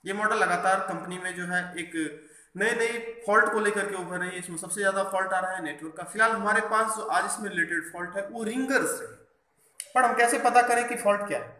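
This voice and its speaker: Male repair instructor, bright forceful tone, explanatory tutorial delivery, echoey workbench recording. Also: sits high, a little fast. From India. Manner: explanatory, mixes in English technical terms. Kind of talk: hands-on tutorial narration. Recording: echoey room, faint background noise.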